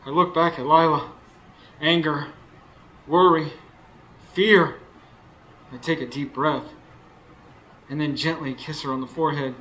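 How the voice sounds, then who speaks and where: An actor playing an anxious husband who narrates a supernatural horror radio play with intense, dramatic delivery, recorded live on stage. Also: male voice, fairly high pitch, fairly even intonation, measured pace, long pauses, bright, forceful tone, rough recording. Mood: somber, uneasy. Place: stage before a live studio audience.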